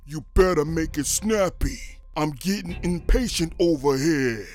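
A hulking mob enforcer snarls and bellows his threats in a raised, gruff voice, as a whispering man puts him on. He is loud and impatient next to the soft narration. Music plays behind him. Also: a little high, a little slow, voice pushed up, natural voice. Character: huge menacing mafia man, angry, impatient.

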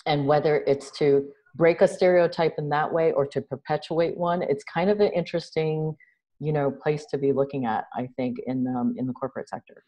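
A middle-aged woman from New City, a guest on a video call, telling stories in a lively, animated way. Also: deep voice, normal speed, rough recording. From New York City. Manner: animated storyteller, gestures a lot, laughs. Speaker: guest, social entrepreneur. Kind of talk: casual interview chat. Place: home room indoors. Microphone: video call webcam.

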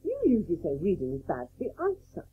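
An actress playing a brisk, dutiful daughter of a Victorian household in a radio play. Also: female voice, fairly low pitch, wildly swooping pitch, slightly rough, phone-quality sound, heavily acted. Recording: thin, faintly noisy old radio tape.

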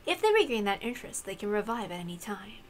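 A woman voicing a solemn, knowing witch in a visual novel, measured and didactic. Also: mid-range voice, big pitch swings, slight background noise, natural voice. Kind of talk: acted visual novel dialogue.

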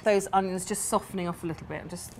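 A woman's voice: fairly low pitch, fairly even intonation, quick pace, background noise. Enthusiastic woman cook explaining a dish. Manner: warm, enthusiastic, explaining as she cooks. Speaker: cook, guest chef. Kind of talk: cooking demonstration. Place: large greenhouse at a garden centre. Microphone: lapel mic.

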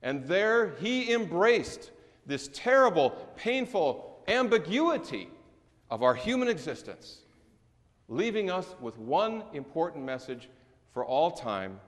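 Middle-aged pastor preaching in a reverberant church. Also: male voice, a little high, sing-song. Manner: measured preaching with slow emphatic stresses and falling endings. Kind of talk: sermon. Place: large church sanctuary. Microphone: distant camera recording in the sanctuary.

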